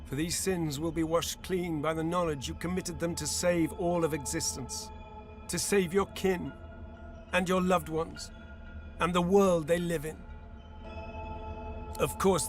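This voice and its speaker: An actor playing a solemn, lofty god who guides like a mentor in a fantasy video game, speaking gravely and grandly. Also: male voice, on the high side, natural voice. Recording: orchestral music behind the voice. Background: music.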